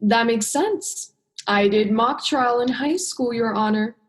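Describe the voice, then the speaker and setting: A woman's voice: a little high, slow pace. A young woman playing an earnest, exasperated human heroine in a radio play, with a direct, emotional delivery. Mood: earnest, formal. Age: young adult. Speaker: drama student actor.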